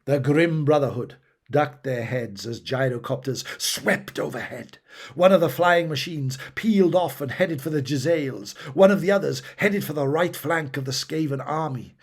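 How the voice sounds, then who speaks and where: A man's voice: fairly high pitch, moderate pace. A man reading a fantasy battle story aloud with theatrical, emphatic delivery. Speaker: audiobook reader. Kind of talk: dramatic fantasy story narration.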